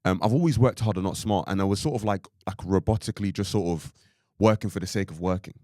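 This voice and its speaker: Deep-voiced young man, rambling casual chat. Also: fast talker, mellow, no room sound, clean studio sound. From Ireland. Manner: rambling, full of "like" and "you know what I mean". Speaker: podcast co-host.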